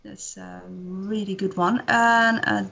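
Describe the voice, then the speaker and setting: Bright-voiced woman, friendly. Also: mid-range voice, fairly even intonation, slow pace, gravelly, muffled recording. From Netherlands. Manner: friendly, brief answers, light laughs. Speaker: quiz contestant.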